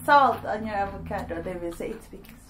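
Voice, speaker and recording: A woman in her forties chats casually, breaking in with short, blunt remarks. Her voice sits at an ordinary mid pitch, and a faint noise stays underneath it. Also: animated, brisk, a bit bright. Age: 40s. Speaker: host's mother. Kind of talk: casual chat.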